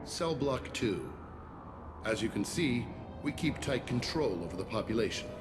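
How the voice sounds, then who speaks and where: An actor playing a stern, self-righteous alien warden of a space prison ship in a video game, speaking formally and with authority. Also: male voice, mid-range voice, sing-song, a little slow. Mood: smug, matter-of-fact. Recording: loud music behind the voice.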